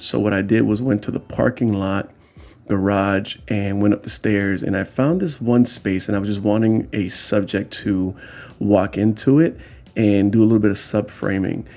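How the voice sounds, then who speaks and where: A man's voice: lowish voice, steady tone, moderate pace, darkish tone. A man hosting his own vlog, talking through his street photos in a casual, explanatory voiceover with frequent fillers. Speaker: street photographer and channel host.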